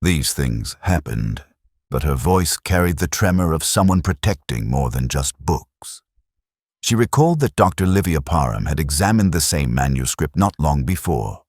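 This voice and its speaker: A man playing a weary, literate police inspector, narrating a detective drama in a measured, melancholy, literary way. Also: extremely low pitch, sing-song, a little mellow, no room sound, studio quality, exaggerated voice. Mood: brooding, reflective. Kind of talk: scripted first-person detective narration.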